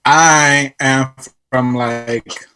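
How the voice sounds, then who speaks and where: Bright-voiced joking guy from Texas. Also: mid-range voice, fairly even intonation, extremely slow, clear, resonant tone. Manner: joking, teasing. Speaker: podcast guest. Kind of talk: casual podcast chat.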